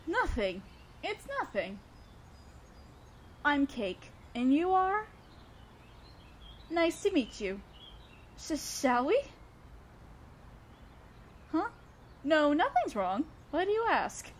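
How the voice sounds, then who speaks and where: Woman playing an awkward, high-voiced female student president. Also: sing-song, extremely slow, long pauses, a little hiss or hum, exaggerated voice. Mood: flustered, awkward. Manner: hesitant, stammering, earnest. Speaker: audio roleplay performer. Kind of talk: romantic audio roleplay acting.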